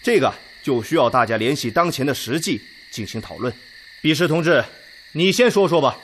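An actor playing a blunt, decisive Red Army general chairing a war council in a radio drama, forthright and commanding. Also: male voice, medium pitch, lively intonation, moderate pace, a bit bright, a little hiss or hum, lightly acted. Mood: frank, serious. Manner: forthright, commanding, addressing a meeting.

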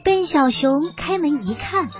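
Lively high-voiced woman storyteller. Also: unhurried. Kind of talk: animated scripted children's story narration. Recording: band-limited dull sound with music behind.

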